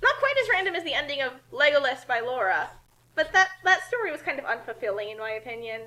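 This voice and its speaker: A woman reading a story aloud in a theatrical, animated way and chatting casually about it as commentator. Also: high-pitched, fast. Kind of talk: dramatic fan fiction reading with casual commentary.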